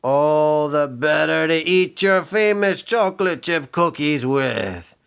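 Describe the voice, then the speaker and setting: A man's voice: medium pitch, moderate pace, a bit bright, clear, resonant tone, close to an everyday voice. A man voicing a sneaky, greedy grown male scoundrel in a children's storybook he reads aloud. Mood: gloating.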